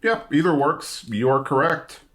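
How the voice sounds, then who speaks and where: A man hosting a trivia game, reading questions out in a measured way and confirming answers. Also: a little high, very animated, a bit bright. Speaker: quizmaster.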